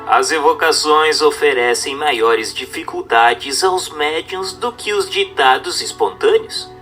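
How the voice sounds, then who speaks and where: A man's voice: on the high side, pushed and bright. A man reading a religious text as scripted narration, in a formal, instructive and carefully phrased manner.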